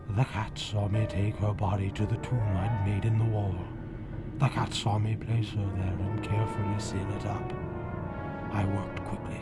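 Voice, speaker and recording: An actor playing a coldly calm, brooding murderous husband in a 1940s radio drama, speaking in a deliberate, hushed, menacing way. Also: male voice, fairly low pitch, creaky. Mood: chilling, reflective. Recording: old 1940s radio recording, loud music.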